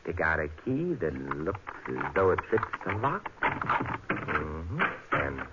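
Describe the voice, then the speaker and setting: An actor playing a suave, unflappable amateur sleuth in a 1950s radio drama, speaking with urbane, dry irony. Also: male voice, sits low, very animated, takes it slowly, heavily acted. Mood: wry amusement. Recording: muffled, noisy vintage radio recording.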